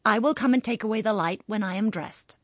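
A woman's voice: medium pitch, brisk, dry recording, clean studio sound, lightly acted. A woman voicing a bossy young girl who plays a strict mother, prim and commanding.

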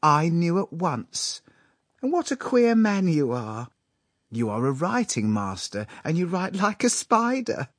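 A man's voice: a little high, big pitch swings, a little slow, no echo, clean studio sound, voice pushed up. Man putting on a shrieky, affected young girl. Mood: teasing.

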